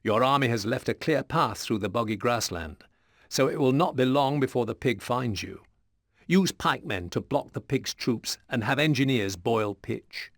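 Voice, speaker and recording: A man giving formal, measured, serious scripted narration for a medieval war game briefing. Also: mid-range voice, no room sound, clean studio sound, natural voice.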